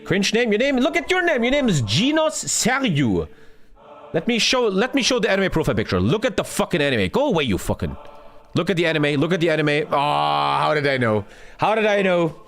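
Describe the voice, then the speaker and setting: Loud swearing man with wildly jumping pitch. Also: sing-song, fairly quick, creaky. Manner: loud, swearing, jumpy rants to chat. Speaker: streamer. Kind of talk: live game stream commentary. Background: game music.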